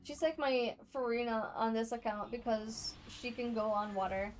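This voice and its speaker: A woman's voice: on the high side, fairly even intonation, normal speed, faint music behind, low-quality recording. A woman livestreamer giving casual, chatty gameplay commentary to her viewers, speaking off the cuff. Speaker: game livestreamer.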